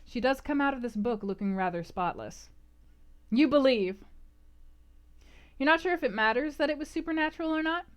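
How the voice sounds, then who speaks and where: A woman hosting a casual book club livestream, discussing and answering chat in an animated, emphatic, conversational way. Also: high-pitched, moderate pace, pauses often, smooth.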